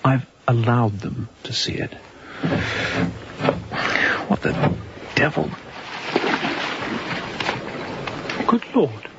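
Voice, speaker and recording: A young, thoughtful sea captain for a radio drama. His mid-pitched voice has a creaky edge, and his phrasing is formal and measured, with a kindly, introspective temper. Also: sing-song, highs cut off, very noisy, heavily acted. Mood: startled, wary. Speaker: actor in audio drama. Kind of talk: radio drama acting with reflective monologue.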